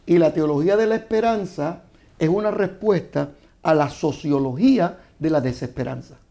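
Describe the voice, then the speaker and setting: A man, a guest author, explaining scripture in a measured, teaching way on a studio panel. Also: on the high side, creaky, mellow.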